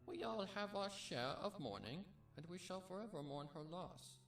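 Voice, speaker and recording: A tender old grandmother pony, played by a man, speaks high and very softly. She is kindly and consoling, with a solemn, loving patience suited to a storybook reading over faint music. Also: expressive, moderate pace, distant mic. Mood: solemn comfort. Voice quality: very soft, soothing.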